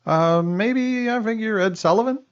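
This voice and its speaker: A man's voice: high-pitched, moderate pace, clear, resonant tone, lo-fi sound, close to an everyday voice. An actor playing a wisecracking male medical examiner with dry punchline delivery in a comedic radio drama. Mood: deadpan.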